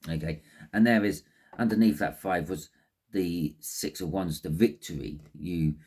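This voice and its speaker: A man's voice: sits low, unhurried, darkish tone, breathy edge. A man with a phlegmy throat giving a casual, rambling tarot reading, often repeating words and restarting sentences. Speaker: tarot reader.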